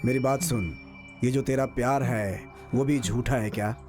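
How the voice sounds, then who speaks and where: An actor playing a shrewd middle-aged uncle, coaxing and persuasive in dramatic dialogue. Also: male voice, mid-range voice, moderate pace, darkish tone, natural voice. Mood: urgent persuasion. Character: shrewd older uncle persuading a younger accomplice. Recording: music behind dialogue.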